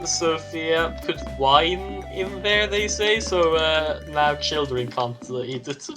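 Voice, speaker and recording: A man chatting casually with friends during a game, explaining things in a measured way. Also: a little high, music in the background. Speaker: co-player in a gaming stream. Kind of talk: casual gaming chat.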